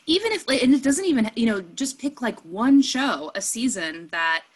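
A woman's voice: on the high side, lively intonation, moderate pace, slightly rough. A woman in her thirties hosting a casual interview, chatty and enthusiastic, piling up examples as she talks. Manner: chatty and enthusiastic, trails off into lists. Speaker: interviewer, fellow actor.

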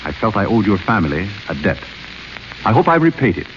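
An actor playing a polite, clever butler in a 1930s radio play, suave and understated. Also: male voice, fairly low pitch, big pitch swings, a little fast. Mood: composed. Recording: muffled old radio broadcast with faint hiss.

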